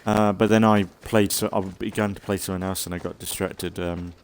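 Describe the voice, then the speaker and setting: A man giving casual, rambling commentary as a let's play host, thinking aloud in a halting way and sounding slightly congested. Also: low-pitched, fairly even intonation, a little hiss or hum. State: slightly congested from an oncoming cold.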